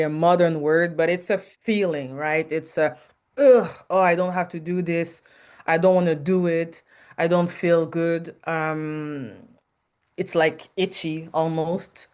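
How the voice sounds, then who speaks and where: A loud, frank French-Canadian woman speaks in a fairly low voice as a podcast guest. She hesitates often, searching for words with ums. She talks openly about her work, and her speech has the plain feel of an interview conversation. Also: steady tone, measured pace. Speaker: podcast guest, marketing advisor.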